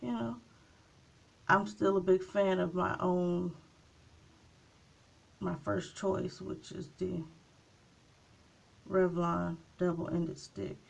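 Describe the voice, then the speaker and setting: A woman vlogger talks at an easy, slow pace while she does her makeup. Her voice is gravelly and a little raspy from a coming cold, and her chat is loose and meandering, with little pauses. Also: on the low side, little pitch movement. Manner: unhurried, chatty, trailing off with small pauses. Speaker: beauty vlogger. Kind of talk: casual makeup tutorial chat.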